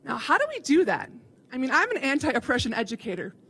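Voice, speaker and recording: A woman's voice: sits high, huge pitch swings, fast, fairly bright tone, breathy edge. A woman from Chicago giving an earnest, instructive keynote talk to educators. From Chicago, USA. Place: conference hall.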